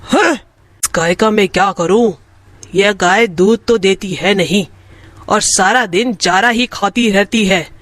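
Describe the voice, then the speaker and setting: A man's voice: high-pitched, slow pace, fairly bright tone, dry recording. A man voicing a mean, grumbling, greedy cow owner in a children's moral story.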